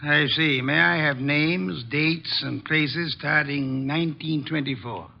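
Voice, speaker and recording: An actor playing a shrewd, imperious private detective with formal, deliberate speech in an old radio drama. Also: male voice, on the high side, fairly even intonation, vocal fry. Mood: measured, probing. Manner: formal, deliberate, precise questioning. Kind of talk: 1940s-50s radio detective drama acting. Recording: dull old radio broadcast recording.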